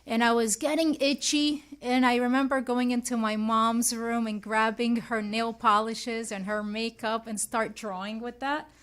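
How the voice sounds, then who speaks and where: A woman's voice: on the high side, flat delivery, measured pace, few pauses, fairly bright tone. A woman from Switzerland, a guest artist, chatting casually in an interview and thinking aloud as she answers.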